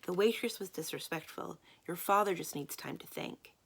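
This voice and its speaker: A woman's voice: fairly low pitch, moderate pace, creaky, fairly bright tone, slightly rough, exaggerated voice. A woman voicing a protective, plain-spoken grandmother in a children's novel, dry yet reassuring. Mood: gently firm.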